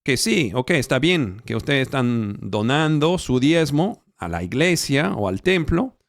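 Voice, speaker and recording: A male pastor preaching a Bible teaching in Spanish, which is not his first language. He speaks slowly and haltingly, with fillers and self-corrections. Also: mid-range voice, big pitch swings, vocal fry, no room sound, clean studio sound. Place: church, recorded for an online channel.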